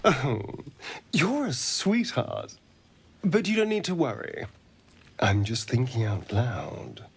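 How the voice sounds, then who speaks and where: An actor playing a flirtatious, refined vampire man in a video game, with a theatrical, teasing drawl and breathy asides. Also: medium pitch, big pitch swings, slow pace, slight background noise, full character voice. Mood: sly, musing. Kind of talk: video game companion dialogue.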